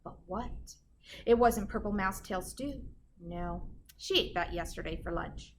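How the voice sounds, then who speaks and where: A woman's voice: mid-range voice, unhurried, slight background noise. A woman reading a children's storybook aloud like a teacher, with dramatic emphasis and chatty asides to her listeners.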